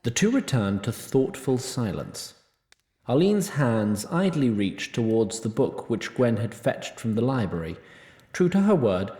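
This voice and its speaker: A man playing a wry, pedantic storyteller, narrating a fantasy audio drama in a formal, precise, deadpan way. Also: mid-range voice, echoey room, close to an everyday voice.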